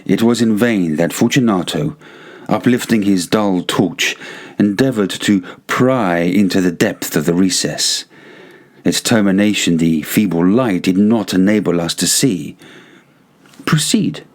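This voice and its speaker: A man narrating gothic fiction as an audiobook, in a measured, theatrical manner. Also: sits low.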